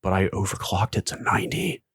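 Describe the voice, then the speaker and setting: A man's voice: deep voice, level tone, fairly quick, a bit bright, slightly rough, no room sound, studio quality. A man co-hosting a podcast, chatting casually and telling anecdotes in a geeky, emphatic way. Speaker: podcast co-host. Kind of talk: casual chat, recounting anecdotes.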